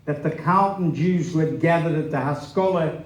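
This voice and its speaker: A man's voice: fairly high pitch, normal speed. A man giving a scripted dramatic reading of a memoir on stage in an echoey hall. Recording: echoey live hall recording.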